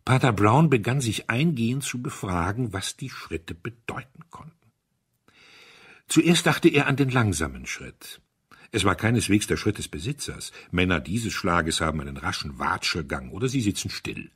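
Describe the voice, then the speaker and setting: Male narrator for literary audio drama: lowish husky voice, suspenseful and measured delivery. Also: very animated.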